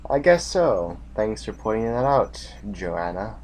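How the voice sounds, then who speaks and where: An actor playing a weary, commanding general in an audio drama, with an authoritative, brooding way of speaking. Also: male voice, lowish voice, big pitch swings, unhurried, noisy background. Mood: somber. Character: weary, commanding male general facing a losing war.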